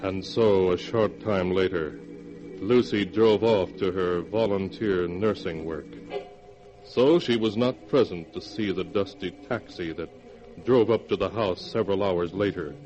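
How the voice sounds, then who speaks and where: An actor playing an ominous storyteller, narrating a vintage 1940s radio horror drama. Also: male voice, deep voice, unhurried, darkish tone. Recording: dull vintage radio recording, music behind. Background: music.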